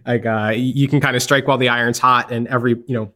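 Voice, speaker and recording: A man's voice: medium pitch, fairly even intonation, quick pace, sharp tone. Chatty man hosting an interview. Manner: chatty, filler-heavy, laughs easily. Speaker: podcast host. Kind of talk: casual interview.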